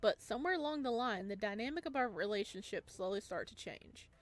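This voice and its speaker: Female let's-play narrator, mid-range voice, expressive read-aloud delivery that slips into casual commentary. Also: mid-range voice, brisk. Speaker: let's-play host reading a visual novel aloud.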